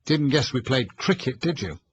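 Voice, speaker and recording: A man's voice: mid-range voice, lively intonation, normal speed, muffled recording, slight background noise, pitched above the performer's own voice, natural voice. A man voicing a pompous, boastful schoolmaster who speaks in hearty, clipped old-fashioned slang. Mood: eager.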